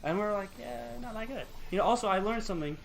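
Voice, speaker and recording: A man's voice: sits high, quick pace, a little hiss or hum. A man co-hosting a gaming podcast, chatting in an informal, conversational way. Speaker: podcast co-host. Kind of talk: casual chat about video games. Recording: clear music behind the talk. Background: background music.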